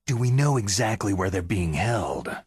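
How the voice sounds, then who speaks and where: Very husky, low-voiced gruff soldier. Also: male voice, expressive, normal speed, a little mellow, no echo. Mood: grim, probing. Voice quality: gravelly, husky. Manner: terse, dry, questioning. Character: gruff, sardonic veteran male special forces soldier. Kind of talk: video game cutscene acting.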